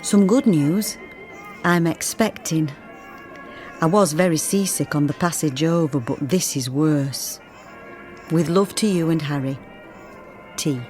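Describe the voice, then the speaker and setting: A woman's voice: sits low, slow, pauses often, darkish tone, soft background music. An actress playing a plain-spoken, homesick young emigrant mother, reading her letters as radio drama narration. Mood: confiding.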